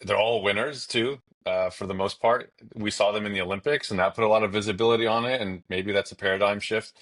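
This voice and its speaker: A man's voice: fairly low pitch, steady tone, fast, fairly bright tone. A man giving opinionated commentary in a casual, conversational sports discussion.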